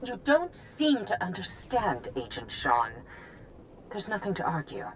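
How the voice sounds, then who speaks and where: A woman's voice: on the low side, huge pitch swings, a little slow, noisy background. An actress playing a stern female head of state in a game, commanding and condescending in clipped phrases. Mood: cold reproach. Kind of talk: video game cutscene acting.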